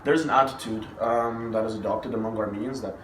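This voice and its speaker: A young man raised in the Middle East, a university freshman, speaks in a lowish voice, often pausing on "uh" and restarting. He is picked up from a distance in a roomy space with a faint hiss under his voice. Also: fairly even intonation, fairly bright tone. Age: young adult. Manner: hesitant, frequent "uh" and restarts. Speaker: interviewee, university student. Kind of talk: casual interview answers. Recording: roomy, from off mic, faint noise.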